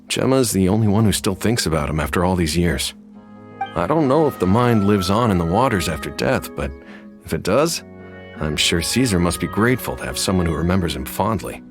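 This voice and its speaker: An actor playing a sympathetic townsman in a game, talking gently and sighing over someone's sad story. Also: low-pitched. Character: sympathetic older townsman at a graveyard.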